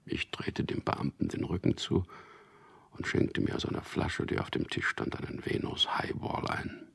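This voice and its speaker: An actor in a German radio drama playing a suave, ironic, detached charmer who also narrates in the first person. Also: male voice, normal speed, a bit bright, close to an everyday voice. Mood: detached narrating. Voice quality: gravelly, very deep. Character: sardonic charming ad copywriter and womanizer, cool, detached narrator.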